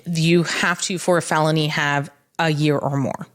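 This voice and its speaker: A woman's voice: sits low, steady tone, moderate pace. An American woman talking casually as a co-host and legal expert, explaining matter-of-factly step by step with examples.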